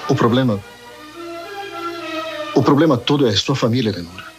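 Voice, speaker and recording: An actor playing an earnest, lovestruck young man in an old-style radio soap, with dramatic pauses. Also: medium pitch, animated, lightly acted. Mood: troubled. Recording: radio play with music underneath.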